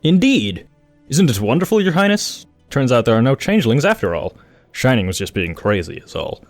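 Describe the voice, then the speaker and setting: A man's voice: mid-range voice, sing-song, normal speed, no echo, soft background music. A man playing a blunt, sardonic, outspoken pony royal guard in a cartoon audio drama.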